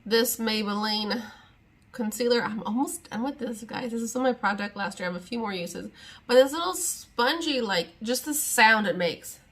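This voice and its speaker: A woman's voice: on the high side, moderate pace, a bit bright. A young woman chatting casually to camera at home, rambling and exasperated as she talks through her makeup. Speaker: beauty vlogger. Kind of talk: casual sit-down chat to camera.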